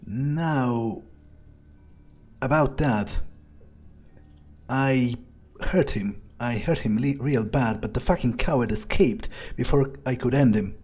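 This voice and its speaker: A man roleplaying a blunt rookie vampire in a video game, with a husky voice and a measured, hesitant, conversational manner. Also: mid-range voice, a little mellow. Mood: guarded, blunt. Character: male rookie vampire turncoat, blunt, self-justifying.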